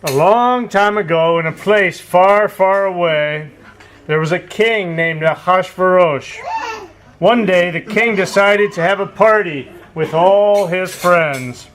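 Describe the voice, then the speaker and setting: A man with a high voice narrates a puppet show for children. He tells the story in simple, deliberate phrases, with faint noise behind him. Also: fairly bright tone.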